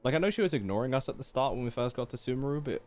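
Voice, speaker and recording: A man reacts to a game as a streamer, in a mid-pitched voice that moves around a lot. He talks casually and playfully in slang, with faint music behind. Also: animated, racing, a little mellow. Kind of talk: casual live game commentary.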